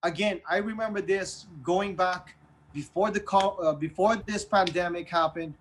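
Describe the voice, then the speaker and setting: Male radio host, Filipino accent, voice on the higher side. Earnest, halting, conversational take on current affairs. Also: fairly even intonation, rough recording. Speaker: online radio show host. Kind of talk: casual news discussion.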